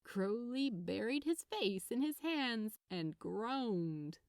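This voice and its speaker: Female fiction narrator, scripted and expressive, taking her time, very varied intonation, dry recording. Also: fairly high pitch, slow, dry recording.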